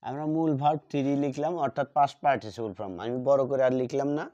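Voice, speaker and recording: A man teaching a grammar lesson, repeating key words slowly as he writes them out. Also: mid-range voice, mellow. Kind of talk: classroom lesson at a board. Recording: dull lo-fi sound.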